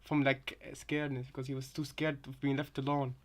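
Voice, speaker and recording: A man's voice: mid-range voice, little pitch movement, a little fast. A man in casual chat as a co-commentator, explaining things simply and hesitantly, often restarting and repeating his phrases.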